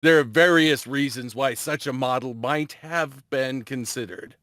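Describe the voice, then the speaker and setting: A man's voice: medium pitch, a little slow, fairly bright tone, no echo, natural voice. A man playing a scheming scientist doctor in an amateur fantasy drama table read, explaining things like a lecturer. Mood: lecturing.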